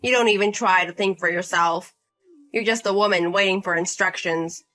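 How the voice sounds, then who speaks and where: A woman's voice: mid-range voice, steady tone, moderate pace, bright, forceful tone, faint music behind, natural voice. A woman voicing an entitled, bullying older brother-in-law in his thirties who talks down to people and makes demands, in a voice-acted drama story. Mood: scolding. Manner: demanding, condescending, scolding.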